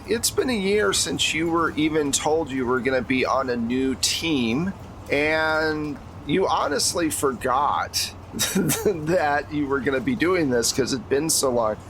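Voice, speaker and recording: A man's voice: fairly high pitch, expressive, a little slow, a bit bright, a little hiss or hum, poor audio. Casual american guy running a tabletop game. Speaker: game master of an actual-play podcast.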